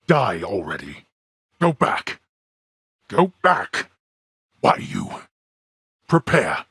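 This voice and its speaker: A man playing a stern, older, sardonic god of the underworld in a video game, gruff and husky, speaking in formal, archaic, contemptuous phrases. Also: fairly low pitch, a bit bright, no echo, studio quality, exaggerated voice. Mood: furious, commanding. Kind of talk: video game character dialogue.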